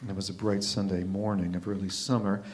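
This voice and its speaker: A man reading a literary short story aloud in a measured, expressive narrating style. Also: low voice. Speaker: reader in a readers' theatre.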